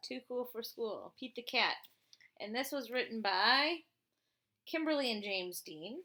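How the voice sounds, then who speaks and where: A woman with a fairly high, bright voice reads a storybook aloud to a child at an unhurried pace. She is playful and chatty, in the manner of a teacher. She slips easily between the text and casual questions to her young listener. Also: lively intonation. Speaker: teacher reading a picture book aloud to a child.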